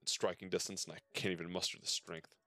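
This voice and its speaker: A man's voice: low voice, little pitch movement, fast, pushed and bright, dry recording, heavily acted. A man reading out game dialogue as a bitter, vengeful wounded soldier. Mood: vengeful, pained.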